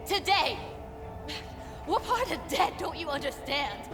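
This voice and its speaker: A woman's voice: high-pitched, big pitch swings, measured pace, over music, full character voice. A woman playing a tough, blunt, foul-mouthed young woman, fiery and raw with grief, in a video game. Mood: furious.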